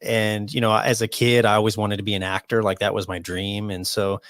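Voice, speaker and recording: A man in his early forties talking casually as a podcast guest, relaxed, often saying "man" and "dude". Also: on the low side, a little fast, no room sound. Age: 40s. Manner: laid-back, says "man" and "dude" a lot. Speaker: podcast guest, awards show founder.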